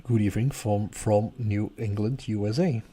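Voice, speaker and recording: A man's voice: lowish voice, moderate pace, breathy edge. A man with a Dutch accent hosting a live stargazing stream, chatting casually and answering viewers' comments with frequent laughs. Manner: relaxed, chatty, laughs often. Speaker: astronomy livestream host.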